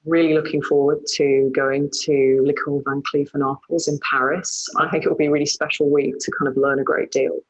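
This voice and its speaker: A woman gives a prepared statement about winning a design prize. Her voice is husky and her pitch barely moves, so the delivery stays even and measured. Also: poor audio. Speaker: past prize winner.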